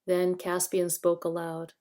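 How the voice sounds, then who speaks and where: A woman's voice: fairly low pitch, completely flat delivery, measured pace, dark tone. A woman storyteller giving a scripted narration of a children's novel.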